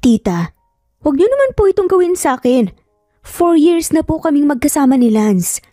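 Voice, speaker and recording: A woman's voice: high voice, sing-song, moderate pace, dark tone, no room sound, studio quality, faint music behind, pitched above the performer's own voice. A woman voicing a timid young waitress in a story, polite, nervous and imploring. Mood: pleading.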